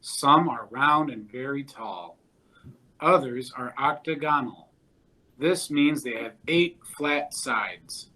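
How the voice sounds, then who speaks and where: A man reading a children's nonfiction book aloud in a measured, deliberate way. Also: medium pitch, sharp tone, poor audio.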